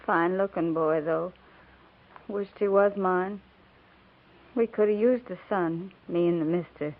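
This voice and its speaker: An actress playing a wistful, plainspoken American frontier wife in a 1950s radio western, with drawn-out rustic phrasing. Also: female voice, lowish voice. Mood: wistful, forgiving. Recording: narrow-band 1950s radio recording.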